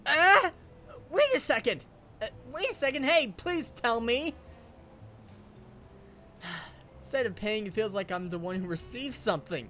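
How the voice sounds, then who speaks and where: A man voicing a flustered, easily rattled teenage boy who stammers and turns shrill when upset, in a fan-dubbed radio drama. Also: very animated, unhurried, long pauses, full character voice. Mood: panicked. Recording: soft music behind.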